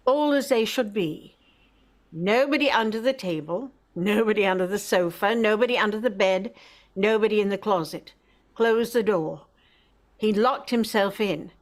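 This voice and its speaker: A woman's voice: medium pitch, lively intonation, unhurried, lightly acted. A woman narrator telling a story in scripted style for an old-time radio drama.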